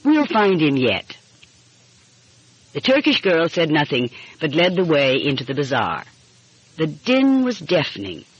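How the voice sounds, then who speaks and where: Woman narrator with a lively, husky voice. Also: sits low, moderate pace. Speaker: audiobook narrator. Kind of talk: scripted narration of a light mystery story. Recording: muffled, dull lo-fi audio.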